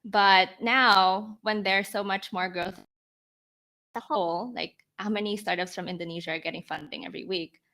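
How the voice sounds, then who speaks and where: A woman's voice: medium pitch, fairly even intonation, normal speed, bright, forceful tone. A woman of about twenty from the Philippines, explaining her thinking conversationally as a startup founder in a podcast interview. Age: around 20. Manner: explanatory, talks through rhetorical questions and examples.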